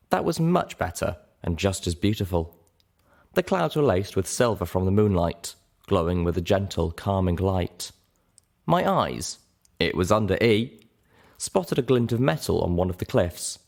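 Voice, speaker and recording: A man narrating a fantasy audiobook in an expressive, scripted reading style. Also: low-pitched. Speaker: audiobook narrator and show host.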